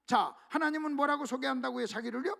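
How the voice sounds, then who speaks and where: A man preaching a sermon to a church congregation, leading them in call-and-response reading and swinging between hushed asides and loud emphatic exclamations. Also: very high-pitched, fast, creaky. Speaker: pastor. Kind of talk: sermon at a revival meeting. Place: church sanctuary.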